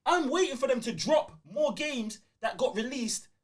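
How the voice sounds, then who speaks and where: A man's voice: very high voice, expressive, moderate pace. A man with a London accent hosting a gaming podcast, ranting in slangy, emphatic banter and repeating phrases for emphasis. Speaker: podcast host.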